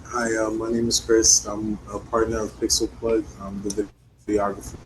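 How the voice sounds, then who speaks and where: Man guest, low and monotone, casual, audible air-conditioning hum behind. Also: normal speed. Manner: casual, hesitant, with fillers. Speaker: guest, videographer.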